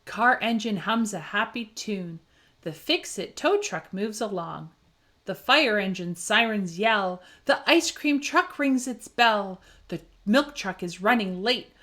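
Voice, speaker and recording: Slow, animated woman reading a picture book. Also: medium pitch. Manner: rhythmic, child-directed, dramatic emphasis. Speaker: children's story reader. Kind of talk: expressive picture-book read-aloud.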